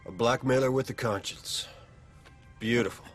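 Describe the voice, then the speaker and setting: An actor playing a sarcastic, wisecracking biker gang member in a video game, slangy and contemptuous. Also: male voice, mid-range voice, moderate pace, faint music behind, close to an everyday voice. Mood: dry, sardonic. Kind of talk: video game cutscene acting.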